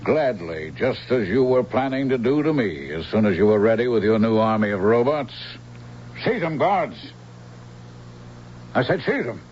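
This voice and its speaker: An actor playing a haughty, treacherous emperor, pompous and smooth-talking, in a radio drama. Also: male voice, medium pitch, sing-song, moderate pace, close to an everyday voice. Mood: gloating. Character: smug, treacherous male emperor, haughty schemer. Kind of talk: radio drama villain acting. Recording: dull lo-fi radio recording, faint hiss.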